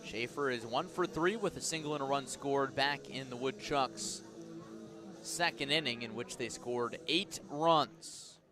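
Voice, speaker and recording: A man's voice: mid-range voice, measured pace, music in the background. A man doing live baseball play-by-play for radio, describing the action in clipped, descriptive phrases. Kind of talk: live radio sports commentary.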